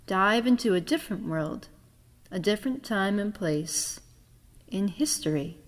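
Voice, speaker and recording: A woman's voice: medium pitch, expressive, measured pace. A woman narrating a scripted introduction to an audio drama in a formal, dramatic storyteller's manner. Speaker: author, director and narrator. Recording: long reverberant hall sound.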